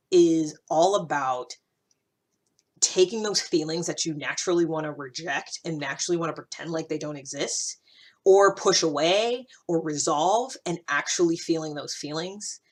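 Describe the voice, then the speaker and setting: A woman talking casually and reflectively to her listeners, thinking aloud in an informal, searching way with emphatic points. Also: on the low side. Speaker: host of a personal-growth series.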